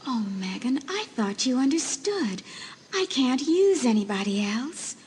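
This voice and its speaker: Brief: a woman playing a teen girl's ghost, hushed, coaxing, breathy edges, high voice. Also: very animated, unhurried, exaggerated voice. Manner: gentle pleading. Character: faint ghostly teenage girl spirit in a mirror.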